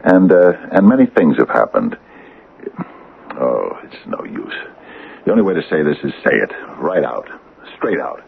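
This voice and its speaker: An actor playing an earnest, agitated small-town man in a radio drama, halting and stammering with urgency. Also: rumbling low, big pitch swings, creaky, slightly rough, phone-quality sound, heavily acted. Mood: hesitant, awkward. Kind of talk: 1980 radio drama acting. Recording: band-limited old radio recording.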